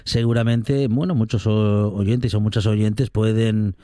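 A man hosting a radio interview, asking measured questions with some fillers. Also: on the low side, steady tone, warm tone, no echo, clean studio sound.

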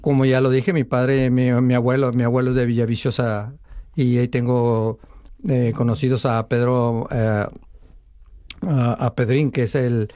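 A man's voice: mid-range voice, little pitch movement, unhurried, warm tone, no room sound. Guy w mexican spanish accent, chill storytelling, dry studio mic. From Texas and northern Mexico. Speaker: guest, sports medicine doctor. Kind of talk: radio interview chat. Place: radio studio.

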